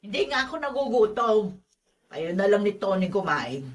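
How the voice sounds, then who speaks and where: An actress playing a sulking, stubborn older aunt in a radio drama, curt and dismissive. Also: female voice, mid-range voice, sing-song, normal speed, distant mic, natural voice. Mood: sulky, curt.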